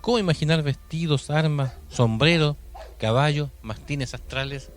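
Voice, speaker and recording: A Chilean man co-hosting a conversational paranormal radio show, speaking in a reflective, halting way with repeated phrases. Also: on the high side. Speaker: radio co-host. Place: radio studio. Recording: music bed under studio talk. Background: music.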